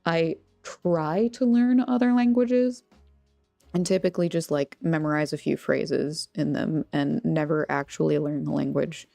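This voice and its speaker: A woman's voice: deep voice, steady tone, measured pace, gravelly, mellow, no echo. A woman from Texas with an American accent, chatting casually as a livestream host in a rambling, playful, self-deprecating way. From Texas, United States. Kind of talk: casual chat with viewers while crafting. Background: background music.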